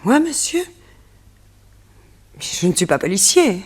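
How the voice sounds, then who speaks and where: A woman playing a haughty, shaken widow in a radio mystery, speaking formally with a breathy voice. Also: medium pitch, very animated, slow pace, exaggerated voice. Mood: guarded. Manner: formal, indignant. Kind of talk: old French radio drama acting.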